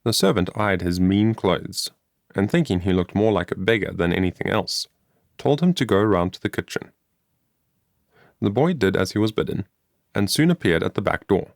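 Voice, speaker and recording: Guy narrating an old moral tale, lowish creaky voice, measured, really dry recording. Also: no room sound. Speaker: audiobook narrator. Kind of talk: scripted story narration.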